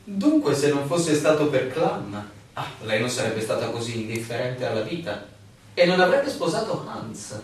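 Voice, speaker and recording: A man's voice: mid-range voice, sing-song, normal speed, natural voice. A man acting a stubborn, intense outsider in a radio play. He presses his case with insistence and a streak of irony. The voice is distant in a very echoey room, with faint noise. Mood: insistent. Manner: insistent, ironic, questioning. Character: stubborn, intense male outsider determined to reach the authorities. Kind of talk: Italian radio drama acting.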